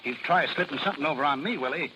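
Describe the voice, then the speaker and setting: An American man acting in a 1930s radio drama as a rough, slangy, quick-tempered carnival barker and protective father. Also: a little high, muffled recording, natural voice. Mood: furious. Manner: slangy, folksy, ungrammatical. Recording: noisy vintage 1930s radio recording.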